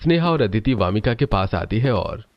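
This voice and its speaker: A man's voice: lowish voice, animated, normal speed, no echo, lo-fi sound. A man narrating a scripted cartoon family story as the storyteller.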